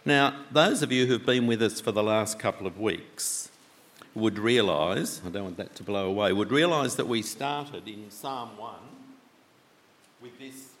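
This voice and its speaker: A man preaching a sermon with a loud voice, talking conversationally and asking the congregation many questions. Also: fairly low pitch, unhurried, a little hiss or hum. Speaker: pastor. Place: church. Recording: long church reverb.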